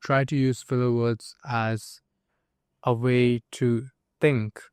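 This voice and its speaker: Young Hindi-speaking male podcast host born in India, smooth and resonant, earnest and conversational. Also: medium pitch, level tone, slow pace, darkish tone, dry recording, studio quality. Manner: earnest, asks for agreement, many fillers. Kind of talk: casual conversational podcast chat.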